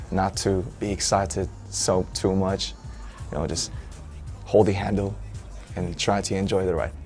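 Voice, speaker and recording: Man, low voice, halting answers that search for words, faint music behind. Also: darkish tone. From South Korea. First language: Korean. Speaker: interviewee, band member.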